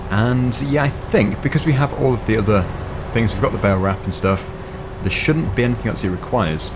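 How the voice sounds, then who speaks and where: A young man, slightly hoarse, chatting casually through a game while thinking aloud with fillers. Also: medium pitch, brisk, noisy background. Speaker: gaming channel host. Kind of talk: casual gameplay commentary.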